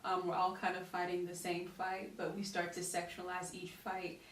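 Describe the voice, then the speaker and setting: An American woman guest with a somewhat low voice speaks earnestly and thoughtfully about her own experience. She sounds set back from the microphone in an echoey room, with faint hiss behind her. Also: monotone, moderate pace. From United States. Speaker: guest, beauty brand founder. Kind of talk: casual conversational interview. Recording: roomy echo, distant mic, faint hiss.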